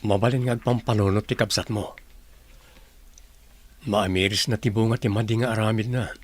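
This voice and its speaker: Man as an earnest, slightly husky young suitor. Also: lowish voice, fairly even intonation, moderate pace, close to an everyday voice. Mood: reassuring. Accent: Filipino. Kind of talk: radio drama dialogue.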